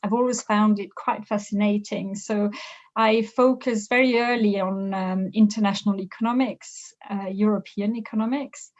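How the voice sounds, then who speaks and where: A middle-aged woman from France with a French accent, a business professor answering interview questions thoughtfully, pausing often. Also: medium pitch, fairly even intonation, highs cut off. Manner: thoughtful, with frequent hesitations and restarts.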